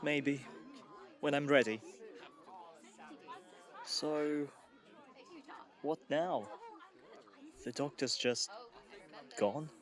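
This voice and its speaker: A man's voice: fairly high pitch, very slow, long pauses, some chatter behind. A man playing a nervous, eager young cadet who chatters.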